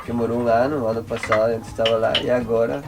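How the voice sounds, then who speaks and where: A man from Venezuela, speaking natively, telling his story slowly and with hesitations in a documentary interview. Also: mid-range voice, level tone, gravelly, over loud music.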